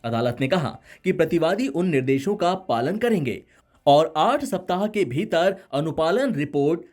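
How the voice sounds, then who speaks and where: A man narrating a scripted news voice-over in a formal, measured manner. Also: fairly high pitch, lively intonation, darkish tone. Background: music bed.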